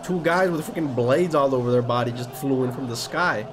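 A man's voice: mid-range voice, brisk, background noise. A young man reacting live to an anime episode in his bedroom, exclaiming, swearing and laughing as he watches. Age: young adult. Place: bedroom with posters, indoors.

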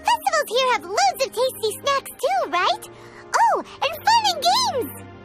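A little floating companion sprite, chirpy and childlike, with a squeaky, very high voice that leaps around as she talks. Loud game music plays underneath. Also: sing-song, measured pace, full character voice. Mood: excited. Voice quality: squeaky, bubbly, third-person self-talk. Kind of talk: video game voice acting.

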